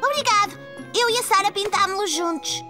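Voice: very high-pitched, a little fast, bright, forceful tone, faint music behind, full character voice. A voice actor playing a cheerful, eager four-year-old boy in a cartoon, with a European Portuguese accent. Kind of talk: children's cartoon voice acting, European Portuguese dub.

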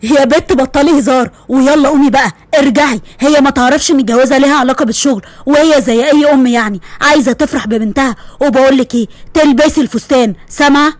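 A woman's voice: sits high, quick pace, hardly any pauses, no echo, close to an everyday voice. A woman voicing a stern, commanding army general father with an Egyptian accent. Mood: firm. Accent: Egyptian Arabic. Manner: firm, commanding, dry humor.

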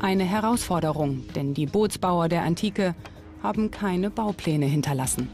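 A woman's voice: lowish voice, normal speed. A woman reading scripted documentary narration. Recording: music under the voice. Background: background music.

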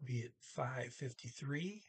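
An older man in his sixties teaches a language in an informal way. His voice is husky and he moves slowly, setting words apart with deliberate pauses. His pitch sits in the middle for a man. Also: animated. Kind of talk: informal Norwegian lesson, word-by-word glossing. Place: small room indoors at home. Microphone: webcam or computer mic at arm's length.

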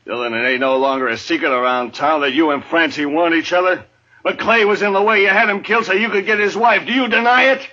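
A man's voice: on the high side, quick pace, bright, forceful tone. A man playing a vengeful, accusing townsman in a 1950s radio western, menacing and confrontational. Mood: accusing, angry. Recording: dull vintage radio recording.

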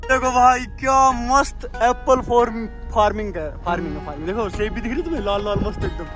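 A young man, chatty and excited, narrating a travel vlog while driving mountain roads. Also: extremely high pitch, brisk. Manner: excited, chatty, calls viewers brother. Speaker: travel vlogger. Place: inside a car on mountain roads. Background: background music.

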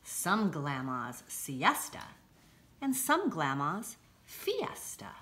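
A middle-aged woman reading a children's picture book aloud, deliberately and with expressive emphasis, recorded indoors a short distance from the camera. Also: deep voice, a little hiss or hum. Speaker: school read-aloud presenter. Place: indoors, home-like room with armchairs.

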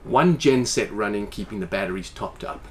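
A man's voice: fairly low pitch, moderate pace, slight background noise. A man in his forties, a boat owner, explaining casually in an interview inside a boat's salon, gesturing as he talks. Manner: animated, gestures with hands while explaining.

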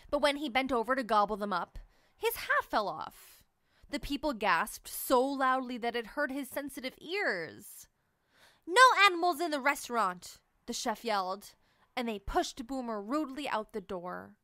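A woman reads a children's picture book aloud, her voice climbing and dipping with playful storytelling energy. Her tone sits a little high, and the sound is close and dry with no room around it. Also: measured pace, no echo. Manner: animated storytelling, sing-song. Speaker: read-aloud narrator.

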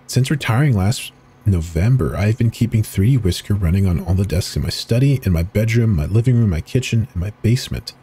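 A man giving a slow, deliberate dramatic reading of forum posts and web pages in an ominous tone. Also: on the low side, warm tone, husky. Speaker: channel host reading horror texts.

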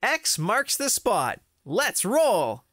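A woman as a lively, excitable boy knight. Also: mid-range voice, very slow, dry recording, studio quality, heavily acted. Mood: eager, bossy. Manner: exclamatory, playful, dramatic. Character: brave, excitable young boy knight, cheeky and bossy. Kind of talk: children's comic read-aloud.

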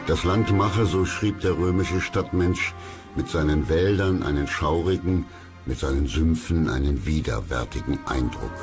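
A man's voice: extremely low pitch, a little slow, over music. A man reading scripted documentary narration.

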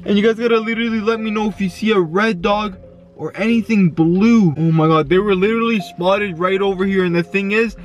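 A man's voice: sits high, fairly even intonation, brisk, highs cut off, soft background music. Hyped young man vlogging from his car. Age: 20s. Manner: hyped, exclamatory, lots of yo and oh my god. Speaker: YouTuber. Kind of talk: excited vlog chat. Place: parked car, indoors. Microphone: camera facing him in car.

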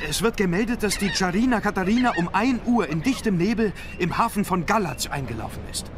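An actor playing an anxious, considerate gentleman among vampire hunters in an audio drama. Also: high-pitched, animated, fairly quick, background noise. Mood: excited. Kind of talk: German audio drama acting. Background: music.

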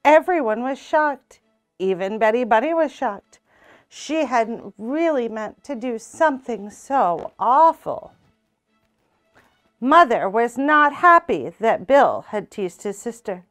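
A young woman reads a picture book aloud in a small room, taking her time over each line with expressive, unhurried delivery. Her voice sits slightly high for a woman. Faint music plays softly behind her. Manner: slow, expressive read-aloud with character voices. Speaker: storytime reader.

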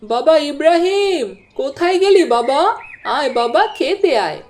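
A woman's voice: high voice, lively intonation, a little slow, darkish tone. A woman voicing a doting, fussing middle-aged village mother in a cartoon, with a coaxing manner. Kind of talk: cartoon dialogue acting. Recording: clear background noise.

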